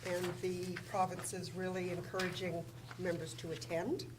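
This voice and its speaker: A woman administrator reading formal council resolutions and figures aloud in a measured way in a council chamber. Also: lowish voice, little pitch movement. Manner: measured, reads figures carefully. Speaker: municipal administrator. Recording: distant mic with room noise.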